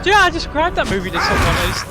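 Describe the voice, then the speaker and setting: A man's voice: extremely high pitch, very animated, racing, over loud music. A jokey, sweary British man giving casual co-commentary on a video game. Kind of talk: casual gameplay commentary chat.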